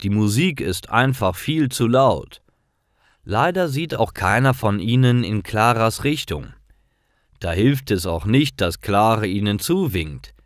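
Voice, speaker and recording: A man narrating scripted stories for language learners in deliberate, clearly separated short sentences. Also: on the low side, expressive, no echo, clean studio sound.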